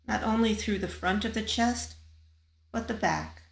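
A woman guiding a body scan meditation in a calm, measured manner, leaving long pauses between short phrases. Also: mid-range voice, vocal fry, echoey room. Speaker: meditation guide.

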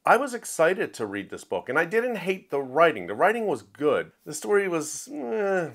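A man's voice: fairly high pitch, wildly swooping pitch, moderate pace, fairly bright tone. A man with a Philadelphia accent giving a casual, conversational book review as a show host. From Philadelphia, USA.

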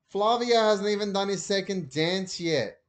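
A man voicing a distracted mother who shouts brusquely down the phone. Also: high voice, measured pace, pitched above the performer's own voice. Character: loud, distracted mother at a show.

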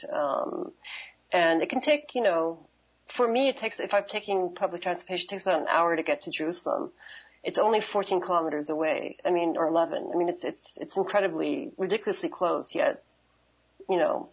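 Low, gravelly woman, hesitant and reflective. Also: a little fast, highs cut off. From Canada and Kuwait. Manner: hesitant, reflective, often rephrasing. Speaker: interview guest, museum professional. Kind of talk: conversational interview answers.

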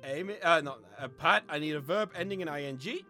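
A British man hosting a word game, bantering casually and reading aloud in an excitable, giggly, playful way. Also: a little high, fairly quick. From Britain. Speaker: host of an online word game. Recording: light music bed behind voice. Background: light music.

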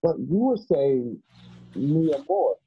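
A man acting a husky, hesitant young cowboy. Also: fairly high pitch, sing-song, takes it slowly, highs cut off, heavily acted. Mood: puzzled. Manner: hesitant, stammering, earnest. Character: earnest, loyal, naive young cowboy companion. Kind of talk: radio play acting. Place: home, on a group video call.

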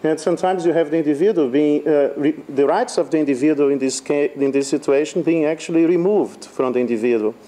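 A man's voice: a little high, quick pace, few pauses, mellow, slight background noise. A man on a conference law panel, speaking hesitantly and searching for his words.

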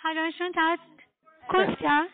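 A woman with a foreign accent giving a halting, formal sales pitch as a telemarketer on the phone. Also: steady tone, slight background noise. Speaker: telemarketing caller, trading broker. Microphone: telephone call. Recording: tinny narrow-band phone line.

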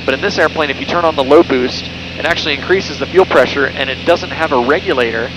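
A man's voice: a little high, quick pace. Young male pilot over engine drone. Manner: relaxed, conversational, with fillers and self-corrections. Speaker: pilot giving a cockpit tour. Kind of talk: casual explanatory walkthrough. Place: small airplane cockpit in flight. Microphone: headset boom mic. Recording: engine noise through intercom. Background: steady engine drone.

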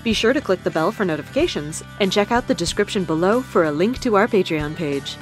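A woman's voice: fairly low pitch, expressive, a little fast, soft background music. Bouncy woman reading a channel outro.

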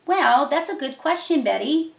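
A woman's voice: sits high, animated, moderate pace, vocal fry. A middle-aged woman hosting a children's puppet show, telling Bible stories in a simple, explanatory way. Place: small decorated room indoors. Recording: echoey room.

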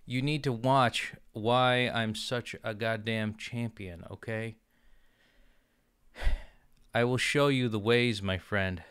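A young man talking slowly and unhurriedly on his stream, his voice smooth and clean. He jokes and boasts playfully, stumbling through beginner Portuguese between chat replies, close on a studio mic in a dry little room. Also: medium pitch, steady tone, fairly bright tone, dry recording. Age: young adult. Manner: jokey, playfully boastful, mixes in halting learner Portuguese. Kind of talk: casual live-stream chat, reading chat aloud. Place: small home room. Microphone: studio mic on arm with headphones.

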